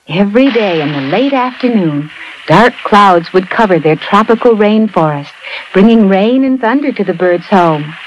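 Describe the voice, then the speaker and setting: A woman narrating a scripted children's fairy tale in an expressive storytelling manner. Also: fairly high pitch. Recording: muffled lo-fi audio, faint music behind.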